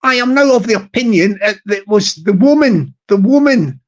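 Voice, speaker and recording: A man with a high tenor voice hosting a live stream in slow, rambling casual chat full of fillers and repeated words. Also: lively intonation, dull audio. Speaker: YouTube live stream host.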